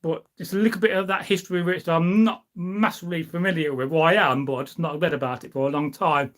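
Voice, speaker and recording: A middle-aged man hosts a casual live stream. His voice sits a little high for a man. He talks in an informal, wandering, anecdotal way, as if chatting with his viewers. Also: fairly even intonation, quick pace. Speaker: live stream host, history enthusiast. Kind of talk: casual rambling chat, reading out and answering viewer comments.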